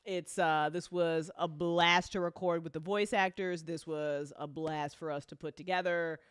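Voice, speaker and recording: An excitable host chatting casually on a live stream, swearing freely and repeating phrases for emphasis. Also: medium pitch, unhurried, clean tone, dry recording. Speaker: live stream host and audio drama co-creator.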